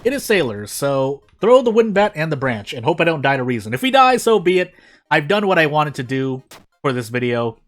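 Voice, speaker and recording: A man's voice: fairly high pitch, very animated, fairly quick, faint music behind. A man hosting a gaming channel, giving casual, rambling gameplay commentary with self-deprecating asides.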